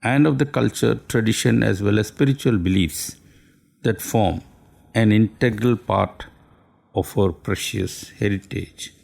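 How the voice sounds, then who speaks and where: Gravelly man. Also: on the low side, darkish tone. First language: Tamil. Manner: formal, measured, courteous. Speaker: radio speaker. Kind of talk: scripted radio talk.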